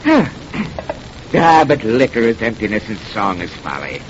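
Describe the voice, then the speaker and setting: Sly, theatrical pirate captain, swooping delivery. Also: male voice, on the low side, big pitch swings, a little slow. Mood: suave. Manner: theatrical, silky and sardonic. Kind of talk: old-time radio horror drama acting. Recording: muffled, noisy old radio recording.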